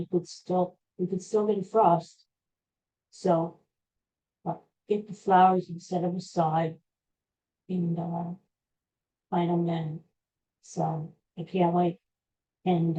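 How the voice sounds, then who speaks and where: A woman's voice: lowish voice, dark tone. A middle-aged American woman hosting a podcast, chatting casually in a rambling, folksy, conversational way and trailing off now and then. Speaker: podcast host. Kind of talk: casual rambling solo chat. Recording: dull lo-fi recording.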